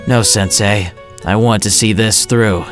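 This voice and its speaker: A man's voice: lowish voice, steady tone, a little slow, soft background music, lightly acted. A man playing a dutiful, reserved young ninja guard in a fan-made anime audio drama. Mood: earnest.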